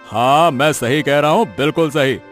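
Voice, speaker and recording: A man's voice: on the high side, moderate pace, a bit bright, natural voice. A man voicing a smug, bullying village businessman in a cartoon moral story, self-important and condescending. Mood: threatening. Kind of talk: animated moral story dialogue in Hindi. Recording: music behind the voice.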